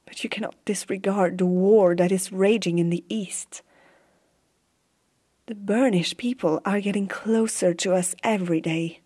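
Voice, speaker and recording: A woman playing a stern, haunted warrior empress in a hushed, deliberate fantasy ASMR roleplay. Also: lowish voice, husky. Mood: intense, resolute. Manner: slow, hushed, deliberate dramatic delivery.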